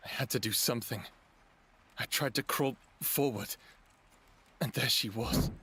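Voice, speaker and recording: A husky-voiced, mid-pitched wounded man tells his story in an audio drama. He is guilt-ridden and self-mocking, given to desperate exclamations. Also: unhurried, pushed and bright, exaggerated voice. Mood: anguished confession. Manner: emotional, exclaiming, confessional. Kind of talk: audio drama acting with first-person narration.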